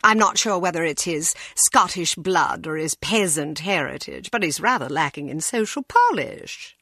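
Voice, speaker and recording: An actress playing a haughty, coolly cruel aristocratic villainess with a condescending, theatrical drawl in a video game. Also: female voice, lowish voice, animated, normal speed, pushed and bright, dry recording, studio quality, heavily acted. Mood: mocking.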